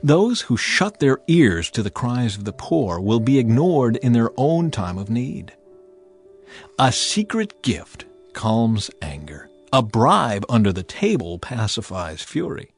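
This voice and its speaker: A man reading scripture as scripted dramatized narration, in a measured, emphatic and expressive way. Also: medium pitch, faint music behind. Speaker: audiobook Bible narrator.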